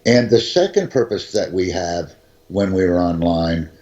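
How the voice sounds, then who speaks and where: A native English-speaking man answering questions in a slow, measured, instructive way, as a teacher. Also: sits low, a little mellow, lo-fi sound. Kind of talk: conversational interview answers.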